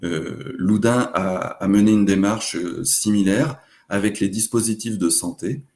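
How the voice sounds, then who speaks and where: A man presenting a webinar, explaining examples in a hesitant, halting way. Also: on the low side, darkish tone.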